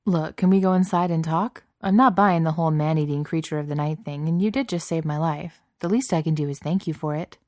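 A woman's voice: deep voice, fairly quick, hardly any pauses, a little mellow, no room sound, studio quality, close to an everyday voice. A woman voicing a wry, bold human man in a story, casual and sarcastic in delivery. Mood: frank, curious. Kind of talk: audiobook character voice.

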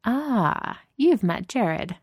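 A woman playing a brash, lively teasing lady boss. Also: on the high side, extremely slow, husky, no echo, studio quality, voice pushed up, exaggerated voice. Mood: smirking. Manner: teasing, mock-dramatic. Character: brash teasing senior executive woman, theatrically sarcastic.

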